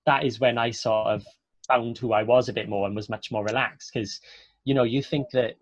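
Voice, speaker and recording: A man's voice: medium pitch, fairly even intonation, moderate pace. Chatty Welsh man on a video call. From Wales. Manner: chatty, many fillers and restarts. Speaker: actor, guest speaker. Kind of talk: casual video-call interview. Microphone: earphone mic on a video call. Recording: dry, slightly dull video-call audio.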